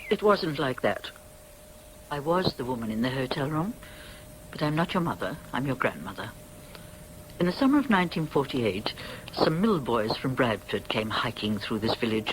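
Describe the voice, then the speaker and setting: A woman's voice: extremely low pitch, expressive, moderate pace, a little hiss or hum, rough recording. An actress in a radio drama playing a stern middle-aged upper-middle-class English lady, formal and haughty in manner. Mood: grave confession.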